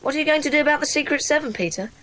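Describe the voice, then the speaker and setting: A woman's voice: sits high, expressive, rapid-fire, fairly bright tone. A woman playing an earnest, polite schoolboy who protests his case in a dramatised children's audiobook. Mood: aggrieved, downcast. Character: earnest, downcast schoolboy club member.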